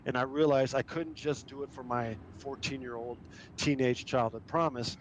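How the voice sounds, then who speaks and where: A middle-aged man who grew up in Germany tells an animated, anecdotal story at an even middle pitch. He speaks casually, as if chatting to a crowd over a headset, with a faint hiss behind him. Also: moderate pace, low-quality recording. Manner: enthusiastic, anecdotal. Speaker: guest speaker, adventurer and filmmaker.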